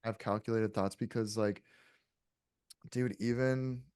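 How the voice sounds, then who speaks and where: A man in his late twenties with a fairly low voice talks casually on a podcast. He is reflective and hedges his points, swearing now and then. The sound is close and very dry. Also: steady tone, measured pace, darkish tone, clean tone, dry recording. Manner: hedging, reflective, frequent swearing. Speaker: podcast guest.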